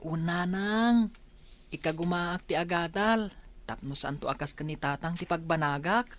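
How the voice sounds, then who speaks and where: A woman's voice: on the low side, normal speed, lightly acted. Woman playing an earnest, polite young schoolboy. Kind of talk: Ilocano radio comedy-drama acting.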